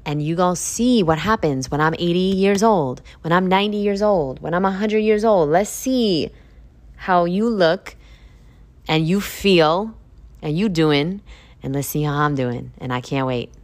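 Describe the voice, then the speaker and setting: Woman, thirties, podcast host, casual monologue, lowish voice, blunt, profane, preachy. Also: measured pace. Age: 30s. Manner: blunt, profane, preachy, swings into high emphatic peaks.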